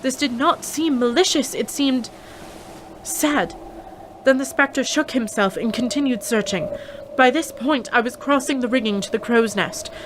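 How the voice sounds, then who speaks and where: A high-pitched young heroine of a pirate-era radio play, played by a woman, brave yet frightened. She tells her story in measured, dramatic narration. There is noticeable ambient noise behind her. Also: lightly acted. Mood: urgent, suspenseful.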